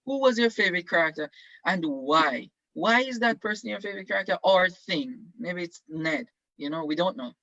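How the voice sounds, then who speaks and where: A man teaches a class over a video call with a bright, fairly high voice. He encourages his students and keeps asking them questions, filling pauses with "um". The audio is dry and a bit lo-fi. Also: big pitch swings, gravelly, no room sound. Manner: encouraging, questioning, many fillers. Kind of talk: leading an online class discussion.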